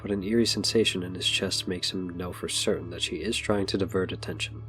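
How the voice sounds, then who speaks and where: A man's voice: sits low, steady tone, a little fast, fairly bright tone, faint music behind. A man narrating a scripted superhero audio drama. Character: narrator of a superhero adventure story.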